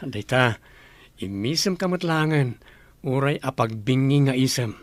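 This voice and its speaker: A man playing a warm-hearted, easygoing older brother from a poor household in an Ilocano audio drama. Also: medium pitch, a little slow, no echo, natural voice. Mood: caring, teasing.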